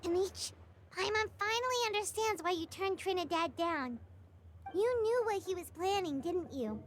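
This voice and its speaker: A woman voicing a small, childlike, cheeky fairy companion in a video game, with a squeaky voice and a habit of talking about herself in the third person. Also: expressive, normal speed, a little hiss or hum. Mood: knowing. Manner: speaks of self in third person.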